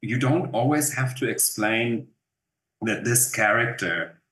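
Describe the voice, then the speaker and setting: A man's voice: medium pitch, takes it slowly, a bit bright. A man in his fifties with a German accent, an actor chatting in an interview, rambling with fillers and restarts. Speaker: actor guest. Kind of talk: casual interview. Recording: roomy echo.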